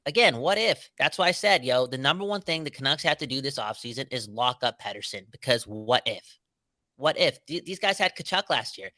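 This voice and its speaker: Animated slangy man, bright forceful voice. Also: medium pitch, no room sound. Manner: animated, slangy, emphatic, says "bro" and "right?". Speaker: podcast co-host. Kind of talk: casual sports debate.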